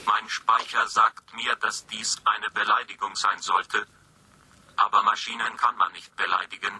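Pedantic diagnostic computer, sci-fi radio drama. Clinical, rather low, unvarying delivery. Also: male voice, little pitch movement, unhurried, bright, forceful tone, slight background noise. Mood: impassive, clinical. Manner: clipped, formal, clinical phrasing. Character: impassive psychiatric testing machine, formal and pedantic. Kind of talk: 1980s science fiction radio play.

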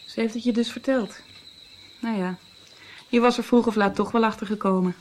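Worldly, teasing woman, slightly high voice an actress. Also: fairly even intonation, moderate pace, a little mellow, slight background noise, lightly acted. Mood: wry, challenging. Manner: wry, challenging. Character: worldly, teasing, sharp-tongued woman of intrigue. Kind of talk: radio drama acting.

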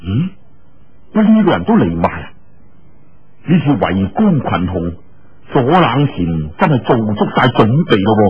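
A male storyteller with a Cantonese accent and a slightly husky voice narrates in a colloquial, dramatic way. His pitch moves around in a lively manner at an unhurried pace. The recording is a little dull. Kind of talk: animated oral storytelling of a martial arts novel. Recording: dull, lo-fi audio.

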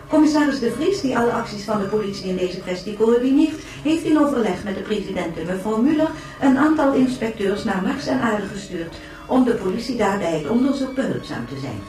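A woman's voice: a little high, darkish tone, close to an everyday voice. Distant, echoing actress as a formal woman newsreader. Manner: measured, formal. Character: formal, composed female newsreader of a future age. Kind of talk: scripted radio news bulletin. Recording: roomy, distant sound over faint music.

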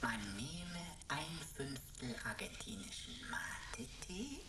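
A woman giving measured recipe instructions in a radio drama. She speaks slowly and rather low, far from the microphone amid heavy noise. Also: big pitch swings, sharp tone, exaggerated voice. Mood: matter-of-fact. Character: female voice slowly reciting a drink recipe. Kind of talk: radio play. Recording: distant, echoey, very noisy.

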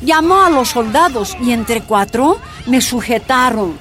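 A grave, measured miner's wife. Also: female voice, a little high, lively intonation. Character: miner's wife recounting her torture, grave woman. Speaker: narrator. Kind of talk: radio drama narration. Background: soft music.

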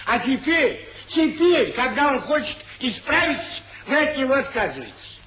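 Grumbling elderly guardian, forceful bright tone, fussy theatrical delivery, faint hiss of 1950s radio. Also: male voice, extremely high pitch, very animated, moderate pace, heavily acted. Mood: exasperated.

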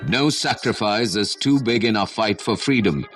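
A man's voice: lowish voice, moderate pace, a bit bright, faint music behind, voice pushed up, lightly acted. A man voicing a stern, unbending old grandfather, firm and solemn, in a storybook. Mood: stoical. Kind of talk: storybook character voice.

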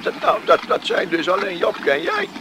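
A man's voice: high voice, wildly swooping pitch, normal speed. A man acting a fussy, concerned villager in a radio play, stammering and repeating words as he insists. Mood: insistent, concerned. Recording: faintly noisy radio play, outdoor sounds.

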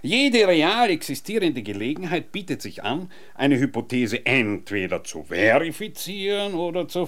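A man's voice: mid-range voice, lightly acted. Man acting an eccentric, pompous scientist, swooping delivery. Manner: pompous, lecturing, theatrical. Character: eccentric, naked time-theorist scientist back from apparent death. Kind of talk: absurd comic radio drama acting.

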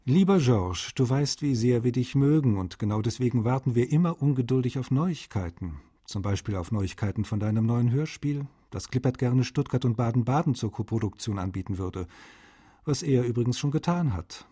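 A caring, fatherly translator reads letters to his friend for a radio feature, gently nagging. His voice is dark and husky, sitting at an average pitch. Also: expressive, moderate pace. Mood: affectionate nagging. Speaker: actor reading letters.

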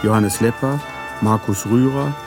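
A man's voice: fairly low pitch, unhurried, music in the background. A man announcing a radio play and its cast in a formal, scripted style.

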